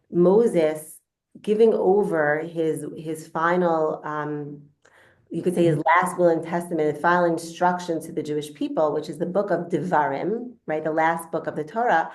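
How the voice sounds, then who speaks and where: A woman's voice: fairly low pitch, a little mellow. A woman teaching an informal spiritual class in a conversational way, repeating phrases for emphasis. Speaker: religious teacher.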